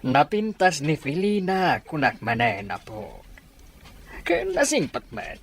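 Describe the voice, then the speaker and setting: A man playing an elderly, insistent matchmaking grandfather in a radio drama, with a persuasive, expressive delivery. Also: fairly high pitch, slow pace, slight background noise, full character voice.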